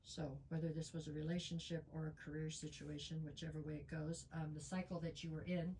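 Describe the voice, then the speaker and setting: A woman's voice: low-pitched, little pitch movement, brisk, warm tone, a little hiss or hum. A woman reading tarot cards in a chatty way, losing her voice and with a stuffy nose. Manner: chatty, frequent ums, talks straight to viewers. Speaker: tarot reader.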